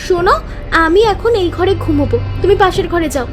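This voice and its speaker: Cartoon role: woman voicing a stubborn greedy young wife, piercing high voice, faint music. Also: fairly quick. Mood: scornful. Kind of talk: cartoon voice acting.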